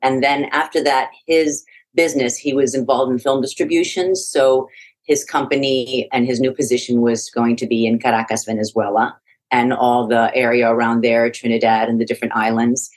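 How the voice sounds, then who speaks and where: A woman's voice: a bass voice, fairly even intonation, normal speed, rough recording. A middle-aged woman from Iraq, a podcast guest telling her life story in a frank, reflective way with frequent restarts. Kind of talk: conversational interview.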